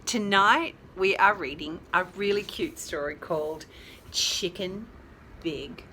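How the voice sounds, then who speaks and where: A woman reading aloud to young children, adding chatty asides and questions to listeners, with drawn-out emphasis on key words. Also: lowish voice, pushed and bright, a little hiss or hum. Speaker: children's storyteller. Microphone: phone held nearby.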